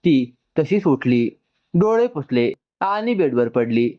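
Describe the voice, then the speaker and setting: A man's voice: fairly high pitch, sing-song, slow pace, muffled recording. A man narrating an emotional story from a script.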